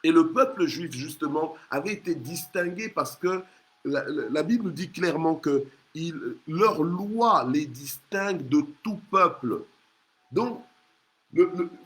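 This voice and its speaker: A man's voice: a little high, fairly bright tone, poor audio. A man preaching an exhorting sermon in an emphatic, repetitive way. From Congo. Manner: emphatic, repeats phrases, punctuates with hallelujah and amen. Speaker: preacher leading a morning prayer. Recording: clear background noise, ambient sound.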